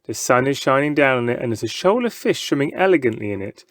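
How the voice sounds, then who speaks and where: A man with a mid-pitched voice reads a children's story aloud. His scripted narration is dramatic, and the recording is close and dry. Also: sing-song, fast, fairly bright tone, dry recording. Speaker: story reader. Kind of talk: scripted children's book narration, present tense.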